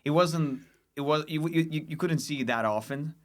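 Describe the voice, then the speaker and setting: A man's voice: on the high side, fairly quick. A man with a Lithuanian accent, a stand-up comedian chatting casually as a podcast guest, often restarting and repeating his phrases.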